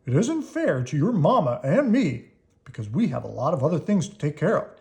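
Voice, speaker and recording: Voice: mid-range voice, big pitch swings, brisk, mellow. A storybook reader voicing a stern but fair father bear, lecturing in a firm, emphatic way.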